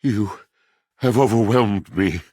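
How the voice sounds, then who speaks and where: An actor voicing a pompous, melancholic washing machine in a video game, a bookish traditionalist with theatrical, ornate, old-fashioned diction and a gravelly voice. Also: male voice, fairly low pitch, slow pace, darkish tone, no room sound, clean studio sound. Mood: anguished, overwhelmed. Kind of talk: video game character acting.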